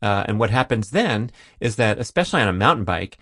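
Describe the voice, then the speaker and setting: A man's voice: fairly low pitch, big pitch swings, brisk, a bit bright, no echo, clean studio sound. A man hosting a solo listener Q&A podcast, rambling and jokey with self-deprecating asides. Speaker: podcast host.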